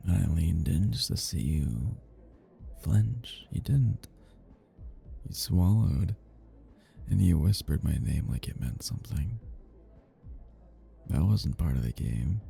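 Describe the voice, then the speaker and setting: Man acting a deep, husky, flirty tipsy best friend. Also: mellow, exaggerated voice. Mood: intimate, earnest. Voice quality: breathy, husky, gravelly low voice. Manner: teasing, slow murmur, drifting into whispers. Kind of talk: intimate romantic ASMR audio roleplay.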